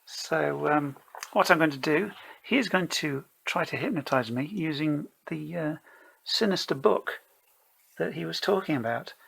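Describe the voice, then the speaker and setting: A solo role-player narrating a tabletop game, improvising and thinking aloud in a hesitant, halting way. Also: medium pitch, lively intonation, creaky, a bit bright, husky, poor audio. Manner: hesitant, halting, mulling things over.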